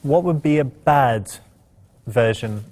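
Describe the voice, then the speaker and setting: A man's voice: mid-range voice, slow, a little mellow. A man hosting a casual discussion, asking questions in a conversational way. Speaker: host.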